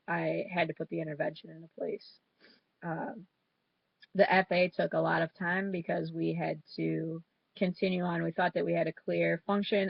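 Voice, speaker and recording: Stuffy-nosed young woman with a cold, gravelly flat voice, muffled dry webcam sound. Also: low-pitched, no echo. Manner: hesitant, with fillers and restarts. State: congested with a head cold. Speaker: student presenting a class project. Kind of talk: informal spoken project report.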